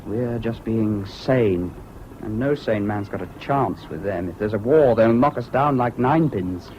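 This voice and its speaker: An actor playing an intense, disillusioned young war volunteer in a radio drama, speaking emphatically. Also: male voice, on the low side, unhurried, a little mellow, natural voice. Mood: bitter, grim. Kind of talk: 1970s British radio drama acting. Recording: dull, hissy old radio recording.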